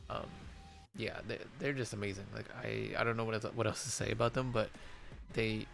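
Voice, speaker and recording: A man with a low, gravelly voice that has gone hoarse, as if he is losing it. He rambles through casual reaction commentary, stumbling over restarts and fillers. Music plays faintly behind him. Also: fairly even intonation, a little fast, a bit bright. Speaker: reaction video host.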